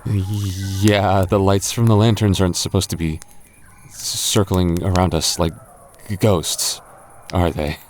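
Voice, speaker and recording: Deep-voiced man, teasing boyfriend roleplay. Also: little pitch movement, a little slow, a little mellow, slight background noise.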